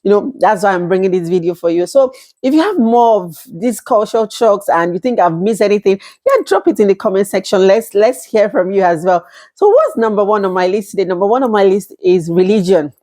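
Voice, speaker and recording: A woman's voice: medium pitch, expressive, brisk, mellow. A woman in her thirties from Nigeria with a Nigerian accent, talking casually to camera, chatty, laughing often and acting out little quoted exchanges. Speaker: vlogger.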